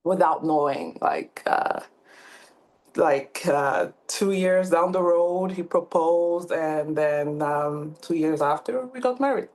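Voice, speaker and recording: A woman's voice: low-pitched, takes it slowly, darkish tone. A woman from Cameroon in her thirties, a podcast guest talking casually with many fillers and restarts. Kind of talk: casual interview talk.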